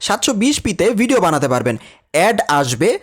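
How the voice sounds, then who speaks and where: Fast, animated Bangladeshi man teaching. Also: on the high side. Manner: chatty, repeats instructions for emphasis. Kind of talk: tutorial lesson with casual direct address.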